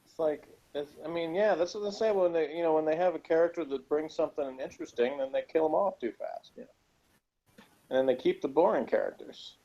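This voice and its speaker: A man's voice: on the high side, fairly quick, warm tone. A man chatting casually as a panelist in a group review of a TV show, with a sarcastic, joking manner. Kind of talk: casual group discussion of a TV episode. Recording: dull lo-fi recording.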